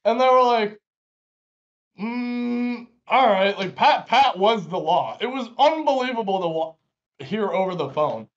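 Young small-town guy, co-host, teasing and jumpy, slightly high voice, muffled lo-fi audio. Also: expressive, unhurried. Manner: joking, interrupting, prompting questions. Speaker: podcast co-host. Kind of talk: casual banter.

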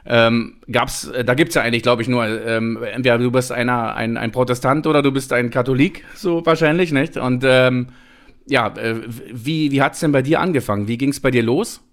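A man's voice: medium pitch, fairly even intonation, normal speed. Chatty man hosting a podcast. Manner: chatty, many fillers and restarts. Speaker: podcast host. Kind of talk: casual chat.

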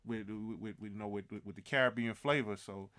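A man co-hosting a podcast in casual chat, talking with enthusiasm and giving shout-outs. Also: lowish voice, fairly even intonation, fast talker, clear, resonant tone. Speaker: podcast co-host.

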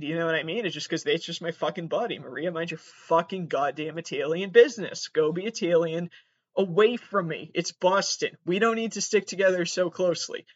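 Male podcast host, North American, notably high voice. Casual, profane, self-mocking solo rambler, recorded dull on a laptop. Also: fairly bright tone. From Massachusetts, United States. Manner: self-deprecating, profane, digressive. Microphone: built-in laptop microphone.

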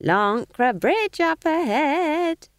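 A sly, cheerful old witch , as a woman narrator puts her on, in a storybook reading. She drawls her answers with mock innocence, her voice pitched high and lively. The sound is close and dry. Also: no room sound, heavily acted. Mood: teasing. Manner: innocent-sounding, teasing, drawn-out.

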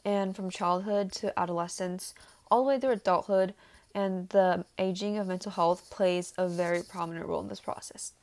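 A teenage girl who grew up in Taiwan speaks in an earnest, prepared way about her own life. Her voice sits at a middle pitch, and she pauses now and then with small fillers. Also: steady tone, a little mellow. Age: teens. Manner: earnest, slightly halting with fillers. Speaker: student speaker for a youth nonprofit. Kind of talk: prepared personal talk.

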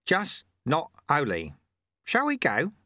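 A little old monk, played by a male narrator, cheerful and modest. His voice sits high and swings freely up and down, with a bright edge. He teases gently in a breezy, wry storybook manner. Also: huge pitch swings, takes it slowly, dry recording, studio quality, full character voice. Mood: wry, teasing.

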